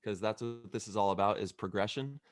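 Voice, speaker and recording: This is a man with a fairly low voice who hosts a podcast. He asks earnest, searching questions. The sound is dry and close on a studio mic. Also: a little fast, no echo. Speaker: podcast host. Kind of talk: podcast interview.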